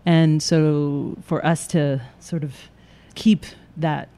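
Man, mellow warm tone, higher pitch, measured interview answers with fillers. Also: fairly even intonation. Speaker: theater company staff.

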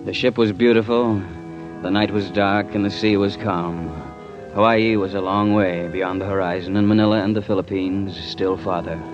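An actor playing a cool, wry investigator in an old-time radio drama, dry and unhurried. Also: male voice, lowish voice, steady tone, lightly acted. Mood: cool narration. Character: cool, wry male investigator narrating his own case. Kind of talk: 1940s radio acting, scripted first-person narration. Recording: muffled old radio recording with music.

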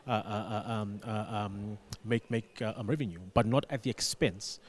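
A man giving conversational interview answers as a studio guest, often repeating words as he builds his point. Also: on the low side. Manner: repeats words, halting emphasis. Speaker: radio business manager, studio guest.

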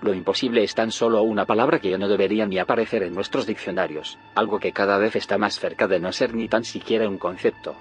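An actor playing a grandiose, philosophical visionary in an audio drama, insistent and grandiloquent. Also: male voice, on the low side, quick pace, few pauses, faint music behind, close to an everyday voice. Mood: grandiose, intent. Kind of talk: dramatic audio fiction dialogue.

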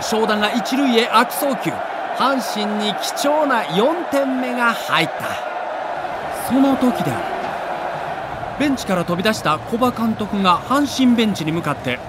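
A man narrating a scripted sports documentary in a dramatic, emphatic manner. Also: very high voice.

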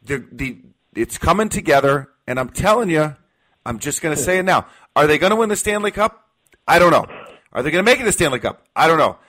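A man's voice: fairly high pitch, expressive, quick pace, pushed and bright. An American man hosting sports talk radio, giving his opinions emphatically and repeating key phrases to drive them home.

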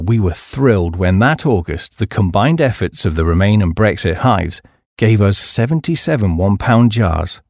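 A man with a fairly low voice reads a memoir as an audiobook narrator. His delivery is measured but lively, with plenty of rise and fall in the phrasing. The recording is close and dry. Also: no room sound. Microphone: close studio microphone.

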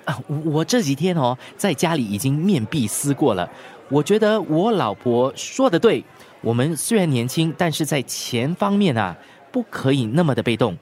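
A man's voice: fairly high pitch, very animated, normal speed, a little mellow. A man acting in a radio drama as a devoted, effusive young husband. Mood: earnest, reflective. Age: young adult. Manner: effusive, melodramatic.

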